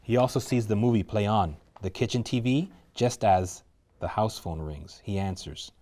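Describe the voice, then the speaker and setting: A man reading the stage directions aloud at a script table reading, steady and measured. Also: lowish voice.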